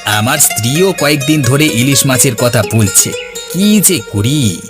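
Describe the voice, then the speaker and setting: A man's voice: mid-range voice, animated, unhurried, over music, lightly acted. A man voicing a friendly, chatty village neighbour in a cartoon, talking in a familiar, informal way. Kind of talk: cartoon dialogue.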